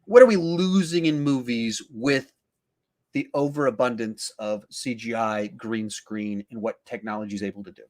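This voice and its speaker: A man with a medium-pitched voice hosts a casual podcast. He talks through his points conversationally, as if in an informal discussion about films. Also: moderate pace, a little mellow. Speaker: podcast host.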